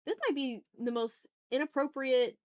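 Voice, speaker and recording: A woman's voice: high-pitched, steady tone, moderate pace. A woman hosting an art livestream, chatting informally and candidly, thinking aloud as she draws and swearing freely. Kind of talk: casual chat while drawing on stream.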